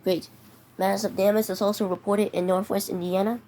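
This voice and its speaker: A voice in a fan-made emergency alert, reading warnings haltingly, with frequent restarts and stumbled words. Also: female voice, mid-range voice, level tone, warm tone, poor audio. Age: teenager. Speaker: mock emergency alert announcer. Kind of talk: improvised fake emergency broadcast.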